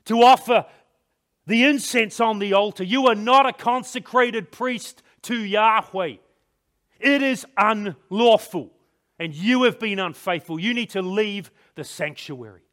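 A man playing a stern, solemn priest who admonishes his king in a deliberate way, in a biblical monologue. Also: high-pitched, expressive, a bit bright. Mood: stern rebuke. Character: stern chief priest with chubby forefinger, rebuking his king.

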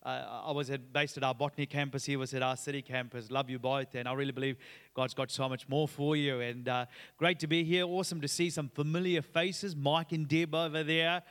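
A man's voice: mid-range voice, fairly even intonation, a little fast, fairly bright tone, smooth. A man in his thirties, originally from Sri Lanka, preaching as a guest pastor. He talks in an upbeat, chatty way with many "uh" fillers. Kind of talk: sermon with personal story. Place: church auditorium. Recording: long reverb of a church hall.

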